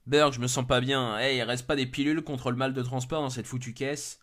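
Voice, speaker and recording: A man's voice: mid-range voice, steady tone, a little fast, a bit bright, natural voice. A man voicing a sickly, injured rescuer in an amateur horror story, weak and grumbling. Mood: queasy, grumbling. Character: sickly, injured male rescuer, weary and wry.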